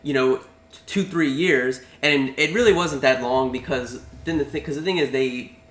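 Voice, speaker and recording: Male host, bright and excitable, casual gaming chat, echoey room. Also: mid-range voice, moderate pace. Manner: excitable, laughs while ranting. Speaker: podcast host.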